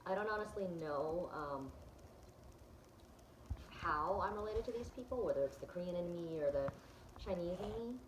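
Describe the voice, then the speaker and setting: A woman's voice: fairly low pitch, lively intonation, normal speed, long pauses, roomy sound, a little hiss or hum, low-quality recording. A woman vlogger chatting casually as she clicks through her results, with plenty of ums and restarts. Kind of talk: casual chat, walking through results on screen.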